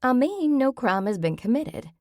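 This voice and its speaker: A woman voicing a gentle, agreeable middle-aged mother in an audiobook, speaking softly. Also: on the high side, very animated, normal speed, no echo, studio quality, voice pushed up.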